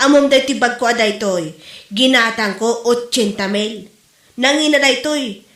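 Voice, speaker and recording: A proud, scolding wife played by a woman in a comedy drama, with a fairly high voice and an Ilocano accent. She boasts and chides indignantly, and the room echoes around her. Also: a little slow, fairly bright tone. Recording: roomy, echoing sound.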